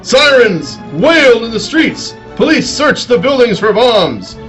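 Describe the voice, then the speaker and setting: A man's voice: very high voice, big pitch swings, a little slow, a bit bright, faint music behind. A man narrating dramatically in the style of a 1940s radio serial.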